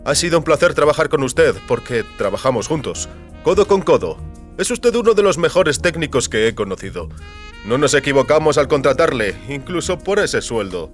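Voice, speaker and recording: A man acts out an older businessman from a science fiction audio story. He is genial and brisk, speaking in a raised, projected voice with cordial, matter-of-fact delivery. Faint music plays underneath. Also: fairly high pitch, big pitch swings, voice pushed up.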